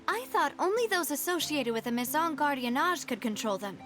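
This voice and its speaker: A determined young leader. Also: female voice, high voice, very animated, fairly quick, a bit bright. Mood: questioning. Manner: earnest and firm. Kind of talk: video game voice acting. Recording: game audio with music behind.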